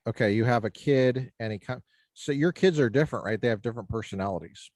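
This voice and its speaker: Deep-voiced teasing male podcast host. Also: quick pace, dry recording. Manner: joking, deadpan teasing. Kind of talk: casual chat.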